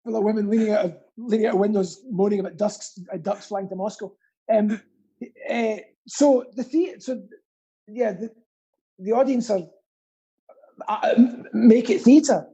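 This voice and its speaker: Man, very dark timbre, husky, casual chat, earnest, self-deprecating, rambling. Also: high voice, moderate pace, highs cut off. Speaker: guest, pantomime actor and theatre stagehand.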